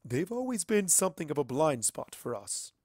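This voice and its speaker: A man's voice: fairly high pitch, sing-song, moderate pace, darkish tone, no echo, studio quality, pitched above the performer's own voice. A man voicing a cold, lofty otherworldly entity that speaks formally and condescendingly in a dramatic story reading. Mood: lofty, detached. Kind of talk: dramatic fan fiction reading.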